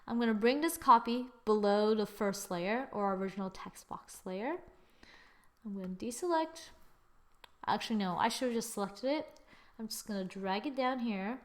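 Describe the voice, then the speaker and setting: A woman hosting a screen-recorded software tutorial, chatting as she works and correcting herself with little exclamations. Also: mid-range voice, moderate pace. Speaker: tutorial host.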